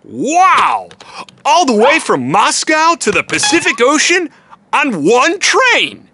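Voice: high voice, wildly swooping pitch, slow pace, pushed and bright, slight background noise, heavily acted. An actor voicing a friendly, goofy and playful talking pet dog in a children's cartoon. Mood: eager.

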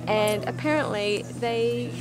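A woman's voice: fairly high pitch, takes it slowly, music in the background, poor audio. A woman in her thirties chatting casually as a travel vlogger, speaking to a camera held at arm's length. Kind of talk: casual vlog chat. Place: outdoors, by water and on trail. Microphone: handheld camera at arm's length.

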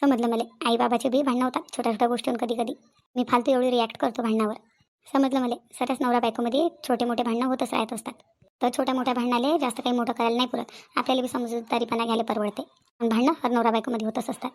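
A woman's voice: fairly high pitch, little pitch movement, racing, darkish tone, close to an everyday voice. A woman voicing a young married daughter in a comedy cartoon, wearily pleading and reasoning. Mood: weary, pleading. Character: young married daughter, weary peacemaker between parents. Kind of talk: Marathi comedy cartoon dialogue.

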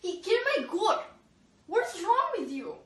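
A woman's voice: fairly high pitch, moderate pace, a bit bright, natural voice. A woman voicing a furious goat owner who rants in a sarcastic, indignant way. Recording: echoey room, voice far from mic.

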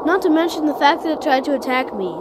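A woman playing an anxious young detective, high-voiced. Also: lively intonation, fast talker, very noisy. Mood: alarmed, uneasy. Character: anxious young detective player exploring monster-infested buildings. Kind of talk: amateur game cutscene voice acting.

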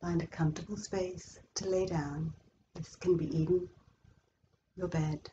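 A woman leading a guided yoga relaxation meditation in a slow, measured, soothing way with long pauses. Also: fairly low pitch, fairly even intonation, warm tone, lo-fi sound, a little hiss or hum. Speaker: yoga nidra instructor.

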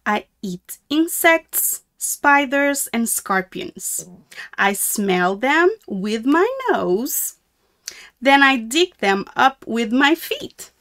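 A woman voicing a friendly little meerkat in a children's storybook, with a simple, deliberate, childlike sing-song delivery. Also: fairly high pitch, expressive, a bit bright, heavily acted.

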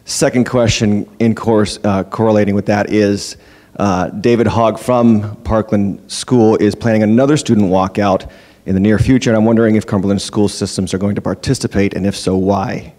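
A parent asking pointed questions from the audience at a school town meeting. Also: male voice, fairly low pitch, steady tone, normal speed, warm tone. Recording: hall reverb in an auditorium.

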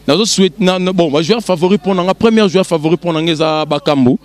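Earnest Congolese man, fairly high voice. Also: a little mellow. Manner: earnest, repeats himself, halting. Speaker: interviewee, football fan. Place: outdoors on a city street. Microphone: reporter's handheld mic.

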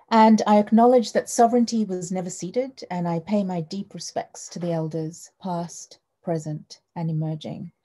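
A middle-aged woman from Australia opens an online talk over a headset on a video call, her voice low-pitched and very mellow. She speaks in a measured, welcoming way, with frequent ums. The sound is that of a small wood-panelled room.

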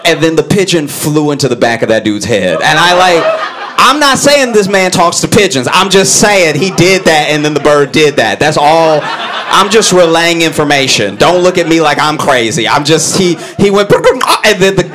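A young man telling a stand-up story on a club stage into a handheld mic, animated and laughing as he acts out characters. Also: normal speed, over music. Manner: animated, laughing at his own story, acting out characters in low voices. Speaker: stand-up comedian. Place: brick-walled comedy club stage.

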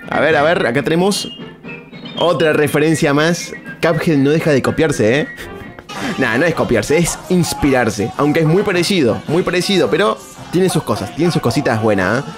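Animated, higher-pitched Argentine man reacting. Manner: slangy, exclamatory, repeats phrases. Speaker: streamer reacting to video. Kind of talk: casual live reaction commentary. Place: indoor room at streaming desk. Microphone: close desk microphone on boom arm. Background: cartoon music.

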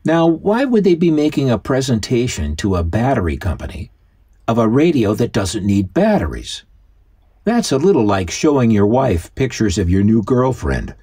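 A man's voice: medium pitch, very animated, normal speed, mellow, husky. A man hosting and co-narrating in a scripted but conversational documentary style. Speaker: host and co-narrator.

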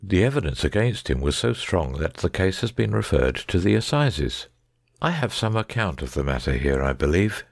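Guy playing a cool precise detective in an audiobook, gravelly low voice, dry close sound. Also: very animated, moderate pace, no echo, exaggerated voice. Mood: precise, intent. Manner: precise, assured, didactic. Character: brilliant, self-assured male detective, coolly precise. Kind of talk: dramatic audiobook reading.

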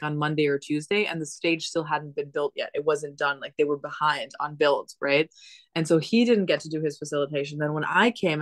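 A woman in her thirties from the Dominican Republic, a podcast guest telling stories in a chatty, animated way with lots of restarts, on a video call from her living room. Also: deep voice, no room sound. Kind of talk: casual storytelling conversation. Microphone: laptop webcam on video call.

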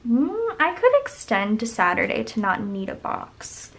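A young woman with a voice going hoarse chats casually while she decorates her planner at a desk at home. She rambles and counts under her breath as she works. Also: fairly high pitch, big pitch swings, unhurried. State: losing her voice. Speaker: planner vlogger. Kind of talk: casual plan-with-me chat. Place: home desk, camera overhead.

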